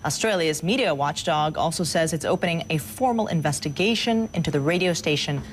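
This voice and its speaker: Woman, news anchor, lowish pitch, live broadcast delivery, background noise. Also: fairly quick.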